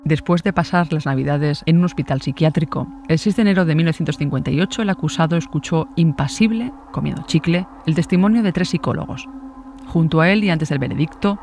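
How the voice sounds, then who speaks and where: A man with a fairly high voice and a Spain accent narrates a true-crime script. Ambient noise sits behind his words. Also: quick pace, a little mellow.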